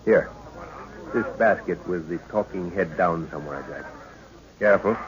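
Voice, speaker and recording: A man playing a middle-aged professor and father leading an expedition, measured and authoritative, in old-time radio drama style. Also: low voice, crowd noise, natural voice. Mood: explaining. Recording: muffled, noisy old radio recording.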